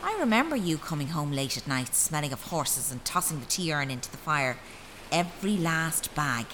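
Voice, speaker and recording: Intense young daughter, bright-voiced. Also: female voice, deep voice, sing-song, moderate pace, a little hiss or hum, low-quality recording. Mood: accusing. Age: young adult. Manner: intense, dramatic. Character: young adult daughter, intense, reproachful, wounded.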